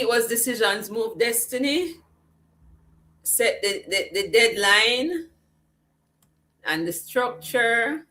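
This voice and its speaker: A woman's voice: fairly high pitch, fairly bright tone. A woman hosting a live online summit over a video call, cheering on her viewers and reading their chat comments aloud. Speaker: summit host.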